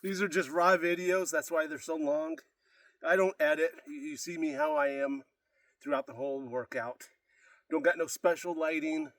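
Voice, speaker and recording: A man's voice: a little high, fairly even intonation, normal speed, a bit bright, no echo, recorded from a distance. A man with a congested, out-of-breath voice, rambling casually to camera between sets of a workout. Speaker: fitness vlogger. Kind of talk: casual unedited workout vlog. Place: gym.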